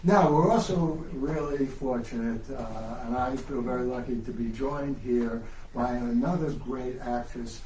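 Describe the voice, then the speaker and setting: A man's voice: medium pitch, measured pace, few pauses, dark tone. A man speaking as an author and host, giving an informal, enthusiastic and digressive introduction to a live audience. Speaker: author hosting a live book reading. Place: indoors in a bookstore. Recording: distant mic, noisy echoey room.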